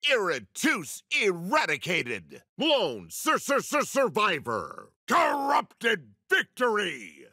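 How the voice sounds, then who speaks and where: A man voicing a corrupted battle announcer AI for a video game, with a raspy voice and booming, declarative, at times stuttering delivery. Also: wildly swooping pitch, takes it slowly, few pauses, pushed and bright, no echo, clean studio sound, exaggerated voice. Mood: dramatic, urgent. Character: corrupted, glitching battle announcer AI, dramatic and forceful.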